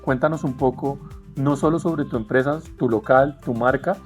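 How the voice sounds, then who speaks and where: A man's voice: mid-range voice, moderate pace, soft background music. A Colombian man interviewing a new member of his association, encouraging and affirming as he asks questions. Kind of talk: casual interview.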